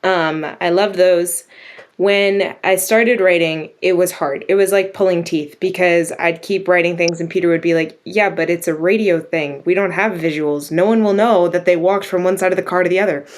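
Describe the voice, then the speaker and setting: A young woman, a script writer, talking casually in an interview, animated and quick to laugh. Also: on the low side. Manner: animated, laughs often, acts out her own thoughts.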